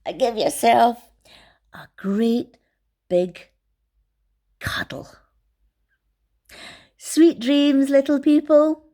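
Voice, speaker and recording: A woman reading to children as a storyteller, expressive and affectionate in how she addresses young listeners. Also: high-pitched, extremely slow, long pauses.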